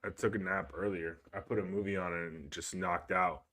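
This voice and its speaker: A man's voice: low-pitched, fairly even intonation, normal speed, bright, forceful tone. A young man co-hosting a podcast in casual chat, talking in a laid-back, rambling way and swearing often. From Cuban heritage. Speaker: podcast co-host.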